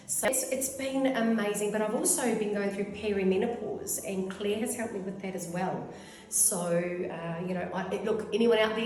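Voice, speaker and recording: Woman, 50s, New Zealand accent, mid-pitched, rambling and effusive client testimonial, heavily echoey room. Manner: rambling, effusive, goes off on tangents. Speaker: clinic client giving a testimonial. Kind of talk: casual testimonial interview. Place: clinic room.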